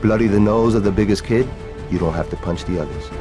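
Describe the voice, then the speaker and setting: An actor playing a loyal, wry veteran pilot in a video game, plain-spoken, recorded dry over music. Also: male voice, lowish voice, quick pace, lightly acted. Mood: eager, assured. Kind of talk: video game cutscene acting.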